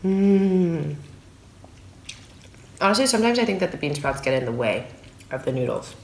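A woman in her thirties talks casually between bites of food at her dining table. Her voice sits a little low and relaxed, picked up by a phone in an echoey room with a faint background hum. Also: normal speed, creaky. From San Francisco, USA. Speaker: food vlogger. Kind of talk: casual eating vlog. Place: small room at home, indoors at a dining table. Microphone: phone camera on the table.

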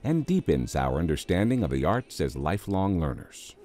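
Very deep-voiced American male narrator. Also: very animated, normal speed. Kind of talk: scripted promotional documentary narration.

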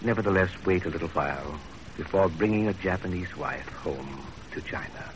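Actor as a low-voiced, dignified older father. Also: background noise, close to an everyday voice. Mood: solemn, fatherly. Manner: measured, formal. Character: formal, dignified older Chinese father writing his son. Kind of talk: 1930s radio drama, letter reading. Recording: old radio broadcast with music.

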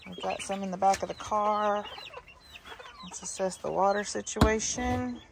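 Brief: older woman, chatty backyard chicken keeper, outdoors, hens clucking clearly behind her. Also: mid-range voice, a little slow, noisy background. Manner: chatty, sing-song greetings to her hens. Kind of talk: casual walk-through chat.

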